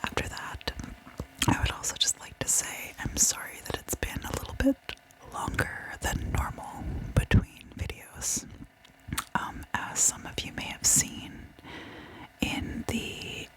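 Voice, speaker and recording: Female ASMR host: hushed breathy whisper, unhurried delivery, soft-spoken direct address to viewers. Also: low-quality recording. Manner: soft-spoken, repeats words gently. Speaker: host of a true crime ASMR channel.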